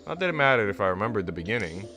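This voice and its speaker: A man's voice: lowish voice, very animated, rapid-fire, pushed and bright, faint music behind. A man reading aloud as a reflective, uncertain young woman narrating a visual novel.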